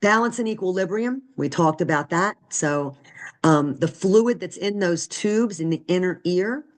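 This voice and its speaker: A woman with a deep voice giving a recorded nursing lecture, conversational and often checking in with "right?" and "okay?". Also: a little slow. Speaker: nursing instructor.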